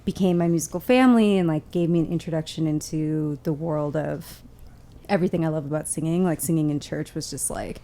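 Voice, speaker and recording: A woman's voice: sits low, vocal fry, warm tone, slight background noise. A woman from Wisconsin, a singer guesting on a podcast, talking in an easygoing, chatty way about her background. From Wisconsin, USA. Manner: easygoing, chatty, quick to laugh along. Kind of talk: casual chat.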